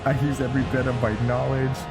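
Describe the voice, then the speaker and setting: A man voicing a bitter, boastful, vengeful mad alchemist as he reads a fantasy journal aloud, theatrical and halting. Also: medium pitch, monotone, vocal fry, voice pushed up, lightly acted, rough recording. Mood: gloating. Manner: theatrical, gloating, halting reading. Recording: loud music behind the voice.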